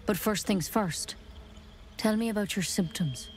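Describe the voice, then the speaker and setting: A woman's voice: mid-range voice, unhurried, slight background noise, lightly acted. A woman playing a stern, worried healer in a video game, speaking in a grave and insistent manner. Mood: brisk, probing. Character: stern, worried female healer druid's apprentice. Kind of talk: video game dialogue acting.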